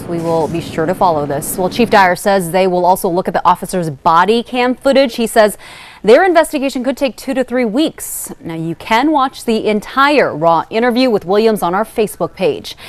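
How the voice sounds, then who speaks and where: A woman's voice: medium pitch, no room sound. A woman with an American accent anchoring a television newscast in a broadcast news delivery.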